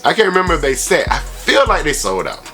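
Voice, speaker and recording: A man's voice: on the high side, sing-song, fast talker, pushed and bright, soft background music. A man hosting a casual sneaker review vlog, talking in a slangy, conversational way full of tag phrases. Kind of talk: casual product review vlog with shout-outs.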